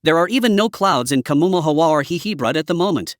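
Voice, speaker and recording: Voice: medium pitch, expressive, fast, no echo, clean studio sound. A presenter improvising dramatic mock breaking-news commentary for a fictional emergency scenario.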